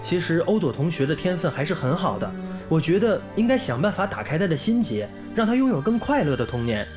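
A man's voice: on the high side, very animated, a little fast. An actor playing a kindly, earnest young male English teacher in a radio drama, with an encouraging manner. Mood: thoughtful. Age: young adult. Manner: clear, encouraging classroom delivery. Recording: music under the dialogue.

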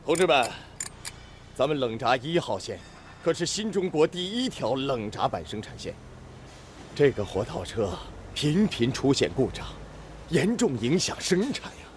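Emphatic, worried factory director. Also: male voice, medium pitch, big pitch swings, a little slow. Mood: pressing. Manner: emphatic, exhorting. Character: anxious, fatherly male factory director under pressure. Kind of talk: Chinese radio drama acting. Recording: noisy factory ambience behind voice.

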